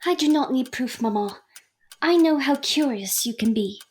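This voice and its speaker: Her voice sits high, with careful formal wording. It is a woman acting a perceptive, prim young daughter of a Victorian family, speaking earnestly in an audio drama. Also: expressive, moderate pace, a little mellow. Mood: prim, teasing.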